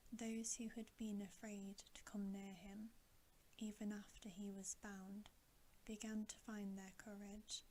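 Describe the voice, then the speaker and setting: A woman reading a bedtime story in a hushed, breathy, deliberate ASMR whisper, close to the microphone. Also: mid-range voice, low-quality recording. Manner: hushed, unhurried, softly deliberate. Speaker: ASMR storybook reader and host. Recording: steady audible hiss.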